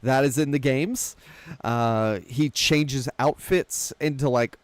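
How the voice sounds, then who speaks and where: A man's voice: medium pitch, slow, no echo. A man hosting a casual film discussion, talking through plots conversationally with wry, self-deprecating asides. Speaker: podcast host.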